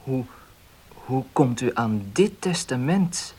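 An actor playing an agitated, disbelieving young nephew in a radio drama, with a stammering, questioning delivery. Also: male voice, medium pitch, expressive, slow, darkish tone, husky. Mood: taken aback.

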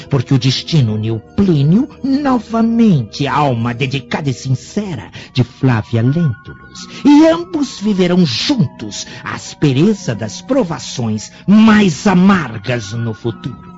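An actor playing a menacing, shrewd sorcerer of ancient Rome in a theatrical radio drama, solemn and insinuating. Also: male voice, mid-range voice, huge pitch swings, a little slow, few pauses, a little mellow. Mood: grave warning. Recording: muffled lo-fi radio drama with music.

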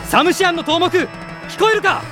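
A man's voice: very high voice, expressive, fairly quick. A male actor playing a noble young prince in a fantasy audio drama, earnest and resolute, speaking formally and with passion. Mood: commanding. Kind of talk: Japanese fantasy radio drama acting. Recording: dramatic music behind the voice.

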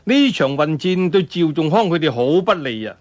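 A high-voiced Cantonese man narrates an action tale as a traditional storyteller. His delivery swings widely in pitch, colloquial and dramatic, built for vivid fight scenes. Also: sing-song, normal speed. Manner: dramatic, colloquial, with spoken sound effects and interjections.

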